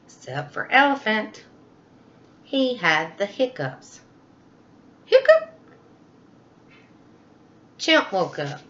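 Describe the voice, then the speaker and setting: Female children's story narrator: slow, very animated, bright delivery on slightly muffled audio. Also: mid-range voice, slight background noise. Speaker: storybook reader.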